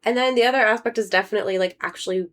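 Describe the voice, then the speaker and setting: A woman in her thirties co-hosting a podcast, congested from allergies, chatting casually and acting out little quotes in a high, playful voice. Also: rapid-fire, a bit bright. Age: 30s. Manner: chatty, acts out quotes in a high voice, laughs easily. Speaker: podcast co-host. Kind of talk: casual chat.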